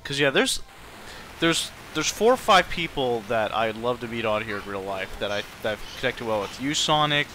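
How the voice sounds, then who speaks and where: A man chatting casually on a game stream in a halting, informal way. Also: medium pitch, expressive, sharp tone, soft background music, low-quality recording. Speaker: guest on a game livestream. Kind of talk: casual chat.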